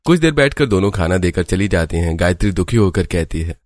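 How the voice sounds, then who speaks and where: A man's voice: low-pitched, lively intonation, fairly quick, a little mellow, dry recording. A man narrating a scripted cartoon storybook tale as the narrator.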